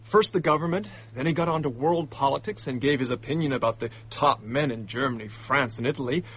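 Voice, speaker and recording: An actor playing an indignant, hot-headed young male diplomat in a radio drama, talking in excitable slang. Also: mid-range voice, brisk, husky. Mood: agitated recounting.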